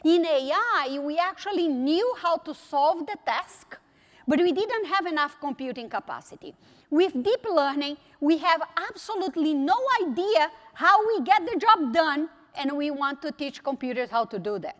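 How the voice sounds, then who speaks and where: A woman's voice: sits high, lively intonation, normal speed, fairly bright tone. A woman from Brazil with a Brazilian Portuguese accent, giving a conference keynote speech with wry asides and emphatic repetition. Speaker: technology executive keynote speaker. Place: large conference hall. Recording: reverberant hall sound.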